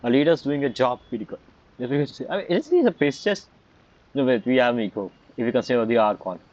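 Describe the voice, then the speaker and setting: A man's voice: medium pitch, big pitch swings, a little fast, a little mellow, muffled recording. A man giving casual game playthrough commentary, musing aloud with short reactions and questions. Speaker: game streamer.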